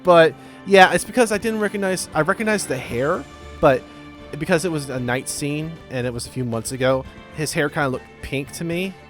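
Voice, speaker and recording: A man's voice: a little high, normal speed, a bit bright, faint music behind. A man hosting a game playthrough, chatting casually in a joking, playful way. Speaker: gaming video host.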